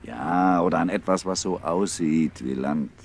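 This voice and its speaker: Coolly scheming ship's officer, low pitch, measured and insinuating, 1950s radio drama, slightly noisy old recording. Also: male voice, creaky. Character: calculating ship's officer, obedient and coolly complicit in murder.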